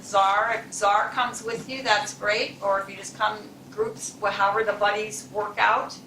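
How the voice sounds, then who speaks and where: A woman's voice: medium pitch, level tone, measured pace, pushed and bright, far from the mic, a little hiss or hum. A woman, the club president, giving chatty, encouraging welcome remarks to guests in a chilly meeting room. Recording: echoey room, mic from afar.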